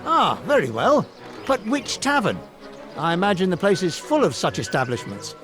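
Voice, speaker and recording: A man's voice: high-pitched, big pitch swings, normal speed, music in the background. A man voicing a shrewd, confident little warrior hero in a cartoon game, speaking politely and articulately. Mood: polite, resolute. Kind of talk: animated cartoon game voice acting.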